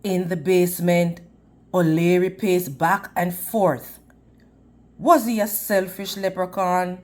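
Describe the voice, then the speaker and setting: A woman reading a storybook aloud in an expressive, teacherly way, putting questions to young listeners. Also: fairly low pitch. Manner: deliberate, teacherly, addressing children. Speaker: children's read-aloud storyteller.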